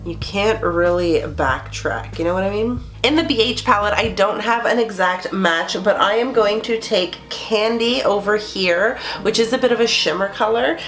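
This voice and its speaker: A woman's voice: mid-range voice, normal speed, hardly any pauses, fairly bright tone. Congested woman chatting through a makeup tutorial. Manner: chatty, explains each step while applying makeup. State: congested, nasal from a cold. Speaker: beauty vlogger. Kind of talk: casual makeup review and tutorial. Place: small bedroom with string lights, indoors.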